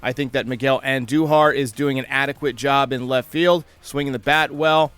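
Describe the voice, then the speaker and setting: A man's voice: medium pitch, steady tone, moderate pace, fairly bright tone, clear, resonant tone, no room sound, soft background music. A man hosting a baseball fan show, delivering sports commentary and previews. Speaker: host of a baseball fan channel.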